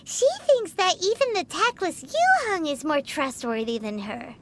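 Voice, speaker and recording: A woman voicing a tiny, childlike fairy companion in a video game, squeaky, bubbly and naive with a cheeky streak. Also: animated, measured pace. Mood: reporting, concerned. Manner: bubbly, talks of herself in third person. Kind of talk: scripted video game character dialogue.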